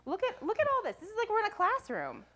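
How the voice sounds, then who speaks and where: A woman's voice: very high-pitched, huge pitch swings, fast talker, bright, forceful tone. A young woman hosting a morning TV show, chatting with a guest in a bubbly, encouraging way. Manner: bubbly, encouraging, lots of affirmations. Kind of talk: chatty TV interview. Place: studio kitchen set.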